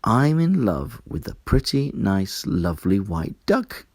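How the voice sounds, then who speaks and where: A man's voice: fairly low pitch, very animated, slow pace, darkish tone, husky, no room sound, clean studio sound, full character voice. A man voicing an eager, lovestruck frog, shy and earnest, exclaiming in a children's storybook reading. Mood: dreamy.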